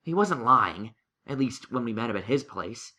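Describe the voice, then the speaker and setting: A studious young unicorn stallion from an audio story, played by a man, earnest and analytical. The voice is bright and sharp, with very animated, emphatic swings in pitch. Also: moderate pace. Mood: insistent. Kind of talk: character acting in a fan-fiction audio story.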